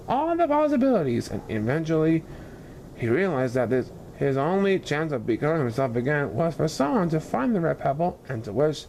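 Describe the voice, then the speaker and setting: A man reading a children's storybook expressively, with dramatic, deliberate phrasing. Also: a little high, gravelly, a little mellow, slight background noise, low-quality recording. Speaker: story reader.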